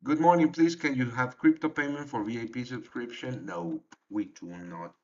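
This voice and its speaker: A man hosting a trading livestream in a relaxed, halting way, with many fillers as he answers the chat. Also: mid-range voice. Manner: reads and answers chat directly. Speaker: trading show host. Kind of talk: casual livestream market talk. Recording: dull lo-fi livestream audio.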